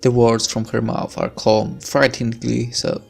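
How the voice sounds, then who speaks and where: Man, middling pitch, creaky fry, gamer narrating visual novel text, dramatic read-aloud, casual asides, music underneath. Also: level tone, a little slow, a little mellow. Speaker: let's player reading a visual novel aloud. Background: game music.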